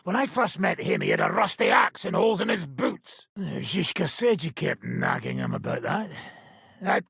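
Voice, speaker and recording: A grizzled old outlaw baron from a video game, blunt, profane and sardonic. His voice is thick with gravel yet sits fairly high for a man, and it leaps around wildly as he talks. He sounds like a rough leader of fighters who has no patience for niceties. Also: wildly swooping pitch, normal speed, fairly bright tone, dry recording, studio quality, exaggerated voice. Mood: gruff, matter-of-fact. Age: older. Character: foul-mouthed old wanted robber baron, gruff war-band leader. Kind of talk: video game character dialogue.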